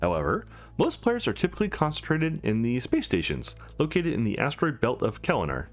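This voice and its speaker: A man's voice: mid-range voice, huge pitch swings, a little fast. A man voicing an upbeat female company receptionist in a game, with polished, formal sales patter. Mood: informative. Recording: music behind the voice.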